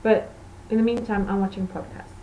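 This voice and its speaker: A woman's voice: medium pitch, steady tone, brisk, muffled recording, slight background noise. A woman from Portugal, a native Portuguese speaker, hosting a knitting podcast in rambling, self-correcting casual chat.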